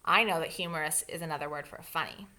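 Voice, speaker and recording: A woman teacher reading a children's storybook aloud expressively, pausing to explain things to young listeners. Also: deep voice, sharp tone.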